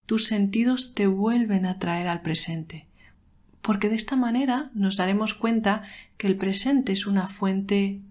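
A woman guides a meditation at a medium pitch. She speaks calmly and without hurry, leaving pauses between short phrases in a soothing manner. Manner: calm, unhurried, soothing, with pauses. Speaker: meditation guide, podcast host.

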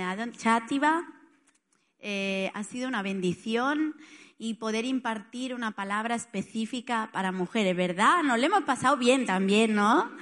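Chatty Spanish woman preacher, higher voice. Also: fairly bright tone. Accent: Spanish with an Italian tinge. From Spain. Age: middle-aged. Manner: joking, chatty. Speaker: visiting pastor and preacher. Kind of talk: informal address to a congregation.

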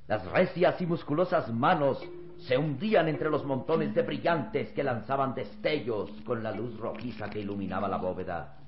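A man's voice: medium pitch, normal speed, natural voice. A man narrating a vintage adventure radio serial in a formal, dramatic storyteller's manner. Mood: solemn, dramatic. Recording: dull old radio recording with music.

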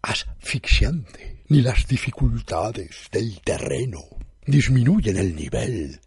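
A man reads a literary story as a first-person narrator. His voice is very husky and slow, with lively swings of pitch and a formal, theatrical delivery. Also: dry recording, clean studio sound. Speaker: narrator, reader.

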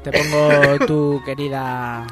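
Male co-host over loud music. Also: on the high side, level tone, slow. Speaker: radio co-host. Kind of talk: casual chat.

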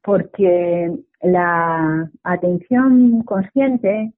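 A woman's voice: fairly low pitch, drawn-out words. A woman from explains things reflectively as an interview guest, often checking for agreement at the end of phrases. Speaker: mindfulness teacher, interview guest. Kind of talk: radio interview, explanatory conversation. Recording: dull lo-fi radio sound.

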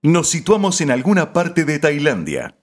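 A man's voice: on the high side, wildly swooping pitch, moderate pace, faint music behind. A man playing a grandiose, mock-solemn narrator of a parody radio drama.

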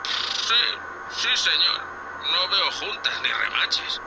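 A man's voice: high voice, very animated, slow, gravelly, background noise, heavily acted. A man with a Castilian Spanish accent playing a nervous, deferential young spaceship crewman in a sci-fi audio drama. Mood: curious, straining. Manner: hesitant, anxious, deferential, full of questions.

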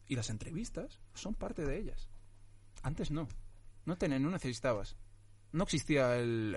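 A young man talks through an interview at an ordinary pitch. He keeps restarting his thoughts, and fillers pile up as he works toward his point. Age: 20s. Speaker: actor guest. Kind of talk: casual interview conversation.

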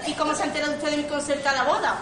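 An actress playing a brisk, bossy young bride-to-be in an amateur comic radio play, emphatic and decided. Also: female voice, sits high, steady tone, natural voice. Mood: indignant, probing. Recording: roomy distant stage recording, noisy audience.

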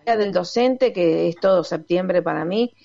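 A woman's voice: on the low side, moderate pace, creaky, mellow, muffled recording. A woman radio host, chatting casually with guests, full of fillers and tag questions.

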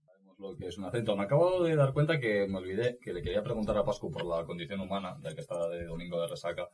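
A man's voice: low voice, fast talker, a little mellow, recorded from a distance, a little hiss or hum. A man hosting a casual radio chat show, joking and teasing as he goes. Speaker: radio host.

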